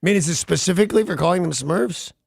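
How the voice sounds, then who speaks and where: A wisecracking male radio co-host with a high voice full of gravelly fry. He is recorded dry and close on a studio mic and lands sarcastic jokes in loose morning-show chatter. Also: fast, dry recording. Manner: joking, wisecracking, sarcastic asides. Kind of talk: casual on-air banter. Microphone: studio microphone.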